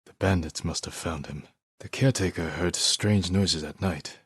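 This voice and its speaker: A man voicing a stoic, honorable samurai warrior in a video game, speaking in a measured, solemn and restrained way. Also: deep voice, husky, dry recording, clean studio sound. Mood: solemn, resolute. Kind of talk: dramatic video game voice acting.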